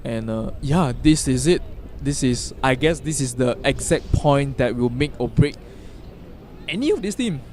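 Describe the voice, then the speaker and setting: A man doing live play-by-play commentary at an outdoor field, given to sudden loud exclamations. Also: mid-range voice, lively intonation, a little slow, slight background noise. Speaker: sports commentator.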